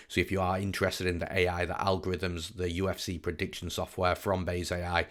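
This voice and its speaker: A man who is a little under the weather and croaky, giving an informal sports breakdown as an analyst and host. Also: sits low, fairly bright tone. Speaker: MMA betting analyst, show host. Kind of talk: informal fight breakdown commentary.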